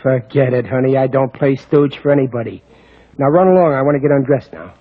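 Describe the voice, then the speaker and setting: A man playing a gruff, wisecracking small-time crook in an old radio play, talking in brusque tough-guy slang. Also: medium pitch, brisk, no room sound, natural voice. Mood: sardonic. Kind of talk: 1940s radio acting. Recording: muffled old radio recording.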